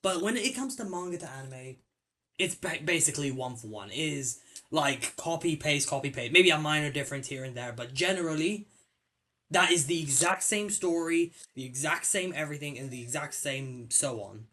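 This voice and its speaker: A young man hosting a casual film discussion podcast, hesitant with many restarts and sudden jumps in loudness. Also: fairly high pitch, lively intonation, moderate pace. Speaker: podcast host.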